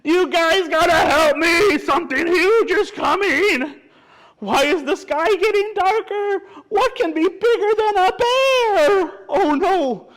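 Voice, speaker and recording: A storyteller voicing frightened night animals from a children's picture book, crying out in panic with drawn-out words. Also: very high-pitched, a bit bright, voice pushed up, exaggerated voice, poor audio. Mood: alarmed. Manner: panicked, exclaiming, drawn-out cries. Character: frightened animals fleeing at night: bear, wolf, skunk.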